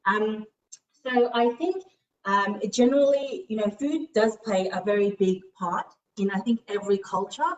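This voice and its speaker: A woman's voice: mid-range voice, normal speed. Woman cooking in an echoey kitchen. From Australia. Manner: explanatory, with frequent "um" fillers. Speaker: cooking show presenter. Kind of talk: casual cooking demonstration. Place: home kitchen. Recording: roomy, distant mic.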